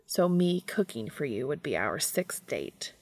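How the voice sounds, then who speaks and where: An earnest, courteous man from an audiobook romance as a woman acts him out, quietly flirty and formal in his phrasing. The voice is lowish and creaky, in a very dry recording. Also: big pitch swings, no room sound, full character voice. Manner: formal, measured wording.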